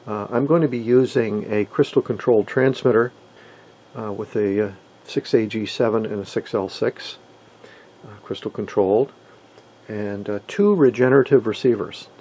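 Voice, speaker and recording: A man giving a casual, halting, conversational explainer as an enthusiastic radio hobbyist. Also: on the low side, dark tone, breathy edge. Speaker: amateur radio hobbyist presenter.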